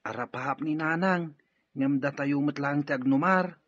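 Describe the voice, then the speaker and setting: A man's voice: on the high side, measured pace, fairly bright tone, husky. A man with an Ilocano Filipino accent playing an earnest, admiring younger brother in an audio drama. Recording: dull lo-fi audio with music.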